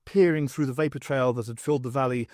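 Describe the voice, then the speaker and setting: A man narrates a science fiction audiobook in a mid-pitched voice. He reads scripted, dramatic prose as a storyteller. The recording is dry, with very little room sound. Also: steady tone, fast talker, a little mellow, no echo. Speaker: audiobook reader.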